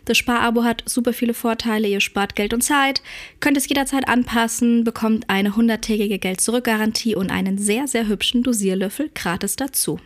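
A woman hosts her podcast in a voice roughened and hoarse from a cold. She talks earnestly and a little haltingly, with fillers and self-corrections, speaking close into her microphone. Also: a little high. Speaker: podcast host and dog trainer. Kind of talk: casual podcast monologue.

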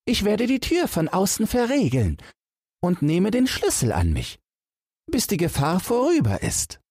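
A crafty young fairy-tale hero, as a male reader plays him, pitched far up from the reader's own voice and swinging freely in tune. He sounds sly and boastful, with polite words laid on thick in storybook fashion. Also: wildly swooping pitch, measured pace, darkish tone, husky, dry recording, studio quality, exaggerated voice. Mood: sly reassurance. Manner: sly, urgent, mock-courteous. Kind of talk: storybook character voice.

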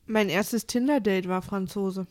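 A woman's voice: medium pitch, measured pace, a little mellow, no room sound. A woman chatting on a podcast in a plain, mid-range voice. She says what she thinks, bluntly and matter-of-factly, recorded dry and close. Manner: blunt, matter-of-fact opinions. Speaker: podcast co-host. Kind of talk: casual chat.

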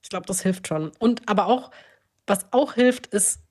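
A woman's voice: medium pitch, normal speed, a little mellow. A woman hosting a casual podcast chat, speaking in an informal, colloquial way. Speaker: podcast host.

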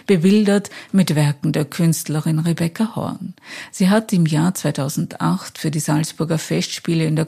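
A woman interviewer with a very low, mellow voice and a slightly gravelly, husky edge. She reads a prepared introduction in a measured way, then puts formal questions to her guest. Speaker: interviewer and podcast host.

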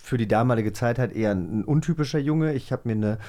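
A man's voice: medium pitch, lively intonation, quick pace, dark tone. Candid male podcast host. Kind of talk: casual podcast conversation.

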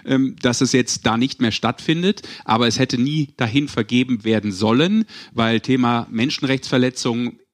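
A man's voice: medium pitch, moderate pace, few pauses. A man hosting a sports podcast, talking casually and colloquially, mixing in English slang. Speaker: podcast host. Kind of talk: casual discussion on an ice hockey show.

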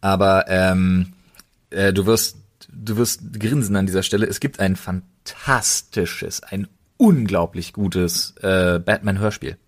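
Low-pitched man in enthusiastic podcast chat. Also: takes it slowly. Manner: enthusiastic, colloquial recommending. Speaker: podcast host.

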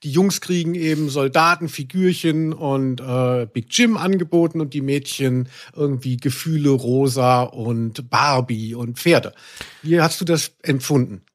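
A man's voice: medium pitch, unhurried. A middle-aged man co-hosting a podcast in casual, playful, tongue-in-cheek chat. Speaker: podcast co-host.

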